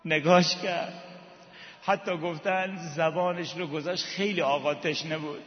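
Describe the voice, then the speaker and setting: A man with a high-pitched voice delivers mournful religious storytelling in a plaintive, impassioned way, stretching phrases for dramatic weight. The recording is muffled, with long reverb from a large hall. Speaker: religious eulogist and preacher.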